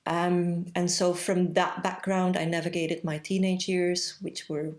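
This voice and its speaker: A woman in her forties with a Belgian accent, a podcast guest speaking reflectively and pausing often. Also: on the low side, little pitch movement, darkish tone. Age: 40s. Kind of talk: casual interview conversation.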